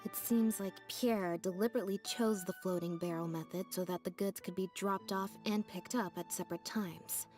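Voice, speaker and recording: A woman playing a calm, reserved young woman in a video game, with a deadpan, understated delivery over faint music. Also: medium pitch, moderate pace, hardly any pauses, close to an everyday voice. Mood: calm, matter-of-fact. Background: soft game music.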